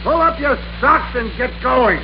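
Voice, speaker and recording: An actor playing a bossy, excitable office worker in an old-time radio drama, with a domineering, emphatic, theatrical delivery. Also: male voice, very high-pitched, a little slow. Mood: bullying. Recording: noisy, dull old radio recording.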